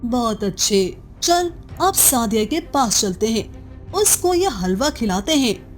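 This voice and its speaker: A woman's voice: a little high, big pitch swings, slow, faint music behind. A woman playing a scheming, cruel, jealous chieftain's wife in a cartoon moral story, theatrical and slyly coaxing. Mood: scheming, commanding.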